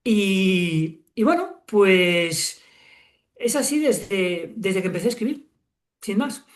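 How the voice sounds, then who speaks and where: Spanish guy in his 60s, guest on an interview, thinks out loud, lots of um and restarts. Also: high-pitched, big pitch swings, slow. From Spain. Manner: reflective, many fillers and self-corrections. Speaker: guest author. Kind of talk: relaxed interview conversation.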